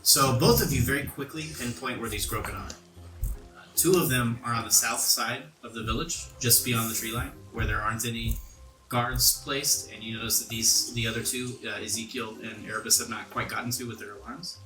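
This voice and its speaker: A man's voice: medium pitch, steady tone, normal speed, bright, forceful tone. A man working as game master, narrating a tabletop game in an explanatory, descriptive way. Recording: roomy echo, faint music underneath. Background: faint background music.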